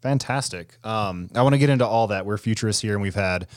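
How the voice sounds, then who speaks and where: A man's voice: lowish voice, fast, dry recording, studio quality. A man hosting a podcast, introducing a guest and asking questions in a conversational way with some restarts. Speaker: podcast host.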